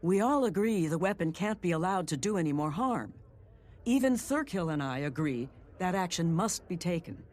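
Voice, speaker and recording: Sly, lighter-voiced secret agent. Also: male voice, high voice, animated, normal speed, darkish tone. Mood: persuasive. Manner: wry, smooth-talking, confident. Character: sly, wry, self-assured secret agent. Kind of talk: fantasy video game voice acting. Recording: game music behind the voice.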